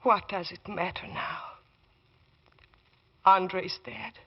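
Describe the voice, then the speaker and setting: An actress playing a grieving, embittered accused murderess in an old radio drama, full of contempt. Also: female voice, medium pitch, fairly even intonation, slow. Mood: anguished, bitter. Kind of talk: 1940s radio drama acting. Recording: dull lo-fi old radio recording.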